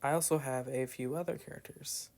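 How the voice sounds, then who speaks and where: A young man with a Southern drawl talks casually over his gameplay. His voice is mid-pitched with a gravelly, fry-tinged edge, and he drifts from thought to thought with plenty of loose fillers. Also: steady tone, fairly quick, a little mellow. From Southern United States. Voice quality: meandering with frequent "like" fillers and trailing asides. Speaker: gameplay commentator. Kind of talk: rambling casual commentary.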